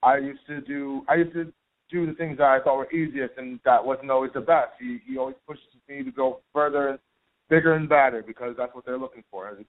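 Halting young man on a phone line. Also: medium pitch. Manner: halting, repeats himself. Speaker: acting student caller. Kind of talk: casual phone interview. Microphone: telephone.

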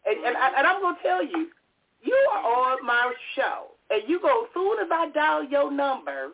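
A woman's voice: sits high, normal speed. A woman in her fifties hosting a talk show, chatting casually with a teasing, laughing manner. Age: 50s. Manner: teasing, laughing, animated storytelling. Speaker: radio show host. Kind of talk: casual chat. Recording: tinny phone-line sound.

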